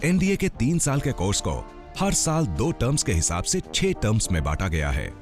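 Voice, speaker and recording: A man's voice: on the low side, huge pitch swings, normal speed. A man giving scripted, dramatic documentary narration. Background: music.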